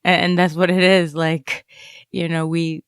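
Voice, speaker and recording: A woman being interviewed, talking casually and reflectively, halting as she searches for words. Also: fairly low pitch, flat delivery, clear, resonant tone, dry recording, studio quality. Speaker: interviewed student writer.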